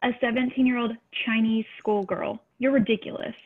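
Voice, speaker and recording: A woman's voice: fairly high pitch, fairly even intonation, normal speed, close to an everyday voice. A woman playing a peppy, teasing nineteen-year-old gossip of a little sister at a table read. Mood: scandalized, teasing. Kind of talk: table-read acting over video call. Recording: tinny, band-limited call audio.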